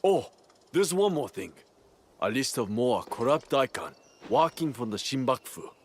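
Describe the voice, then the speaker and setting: An actor playing a big, strong, humble and friendly retainer in a video game, speaking deliberately in short weighty phrases. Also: male voice, mid-range voice, big pitch swings, slight background noise. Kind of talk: video game cutscene acting.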